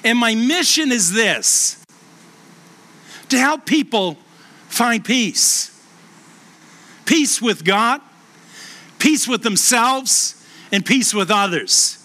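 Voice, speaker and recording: A middle-aged man with a Boston accent, a pastor preaching a sermon and telling stories earnestly, slowing down for emphasis. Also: animated, bright, forceful tone. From Boston, USA. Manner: earnest storytelling, slows and lowers voice for emphasis. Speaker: pastor and hospice chaplain.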